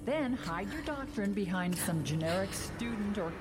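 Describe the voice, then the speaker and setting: A woman's voice: medium pitch, expressive, normal speed, noisy background, lightly acted. A woman playing a preachy grieving mother. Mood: coaxing. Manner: pleading, preachy. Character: pious middle-aged mother turned deprogrammer. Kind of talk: audio drama acting.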